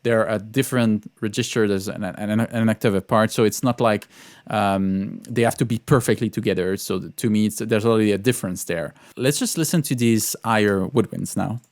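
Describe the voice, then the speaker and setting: A man's voice: fairly low pitch, normal speed, no room sound. A man talking through an orchestration lesson as a composer, informally and hesitantly, thinking aloud as he goes. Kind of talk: informal tutorial walkthrough.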